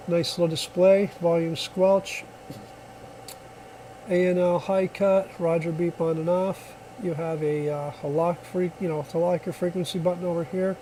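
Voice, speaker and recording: A man's voice: a little high, dark tone, slight background noise, rough recording. A man giving a casual hands-on product demonstration, explaining step by step in a plainspoken way. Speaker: product reviewer.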